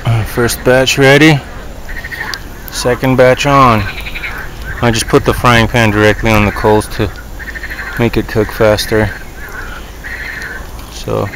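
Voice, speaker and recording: Gravelly-voiced man vlogging outdoors. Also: mid-range voice. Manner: easygoing, talks to viewers while working. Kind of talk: casual narration while cooking outdoors. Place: outdoors by a riverbank. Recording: noisy outdoor camera audio. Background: outdoor ambience, frogs.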